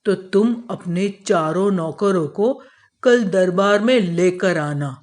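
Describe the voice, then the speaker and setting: A man voicing an authoritative, fair-minded emperor in a children's moral cartoon, with a stately, deliberate way of talking. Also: high-pitched. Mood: stately, questioning.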